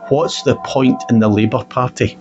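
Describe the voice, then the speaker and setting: A man's voice: mid-range voice, steady tone, moderate pace, music in the background. A man arguing in a political discussion, emphatic and indignant, repeating phrases to press his point. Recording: dull, muffled lo-fi audio.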